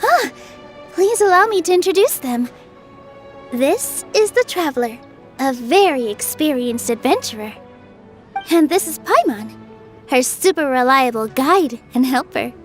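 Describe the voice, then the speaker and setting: A woman's voice: high-pitched, animated, unhurried, long pauses. A woman playing a kind, modest young dancer in an anime video game, speaking politely and earnestly. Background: music.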